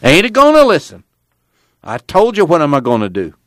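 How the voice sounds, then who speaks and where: A man's voice: a little high, huge pitch swings, a little fast, a bit bright, no echo, clean studio sound, voice pushed up. A man voicing a cantankerous, defiant old farm grandfather in an audiobook, blunt and emphatic in folksy rural dialect. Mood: angry, defiant. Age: older.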